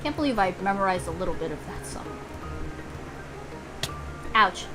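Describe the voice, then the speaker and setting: A woman's voice: mid-range voice, expressive, normal speed, music in the background, rough recording. A woman doing casual live gameplay commentary in a playful, exclamatory way, swearing freely. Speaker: game streamer.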